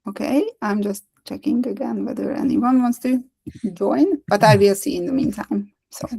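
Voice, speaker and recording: Creaky-voiced woman hosting an online meetup. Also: mid-range voice, normal speed, warm tone, rough recording. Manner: hesitant, many restarts and fillers. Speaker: meetup host. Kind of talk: casual chat on a video call. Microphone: video call.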